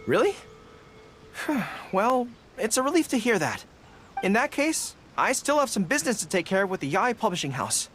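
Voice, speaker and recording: A man's voice: high-pitched, sing-song, moderate pace, fairly bright tone, a little hiss or hum. A young man playing an earnest, awkward, easily flustered dog-eared general in an anime-style video game. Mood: earnest, hesitant. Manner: earnest, hesitant, boyish.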